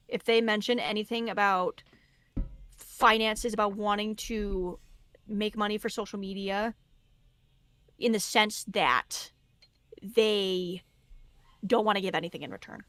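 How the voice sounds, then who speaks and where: A woman's voice: medium pitch, unhurried, long pauses, fairly bright tone, dry recording. A woman guest talking casually and earnestly, giving advice on content creation and laughing easily. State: losing her voice. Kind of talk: casual podcast conversation.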